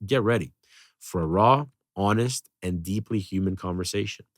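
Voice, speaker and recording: A man's voice: sits low, normal speed, no echo. Dry-voiced podcast host man. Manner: energetic, uses fillers like "you know". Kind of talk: scripted intro then casual interview. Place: podcast studio.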